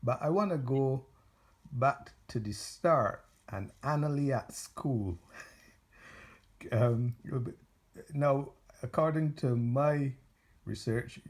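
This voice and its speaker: A man from Barbados, interviewing informally in halting, deliberate phrases. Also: medium pitch.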